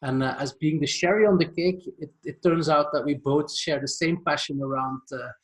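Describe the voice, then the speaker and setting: Higher-pitched male interviewer. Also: moderate pace, poor audio. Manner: friendly, chatty questions with fillers. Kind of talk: conversational interview.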